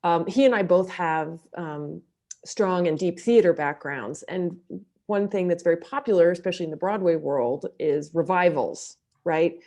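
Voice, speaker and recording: A woman's voice: lowish voice, moderate pace, dark tone, highs cut off. A woman talking conversationally in an interview as a director and actor, thoughtful and emphatic in how she makes her points. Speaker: audio drama director and actor being interviewed.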